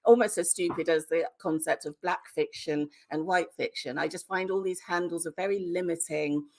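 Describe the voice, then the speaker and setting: Female author, British accent, lower register with noticeable gravel, outspoken interview delivery. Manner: opinionated, emphatic, plain-spoken. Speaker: guest, debut novelist. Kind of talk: conversational interview answers.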